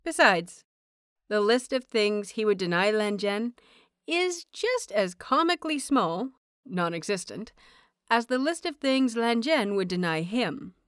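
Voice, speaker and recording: A woman hosting and narrating audio fiction in an expressive audiobook style. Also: mid-range voice, measured pace, clean tone, no echo, clean studio sound. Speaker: host and narrator of an audio fanfiction show.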